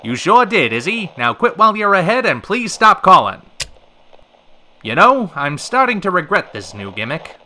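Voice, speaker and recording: An actor playing a sardonic, easily flustered 1930s radio call-in host with wry, old-fashioned slangy patter. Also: male voice, sits high, sing-song, moderate pace, bright, forceful tone, a little hiss or hum, heavily acted. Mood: exasperated. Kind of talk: old-time radio drama acting.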